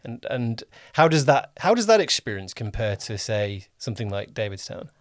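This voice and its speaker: A man's voice: mid-range voice, sing-song, moderate pace, dry recording, clean studio sound. A man hosting a casual interview, rephrasing his questions conversationally as he goes. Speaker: podcast host and producer.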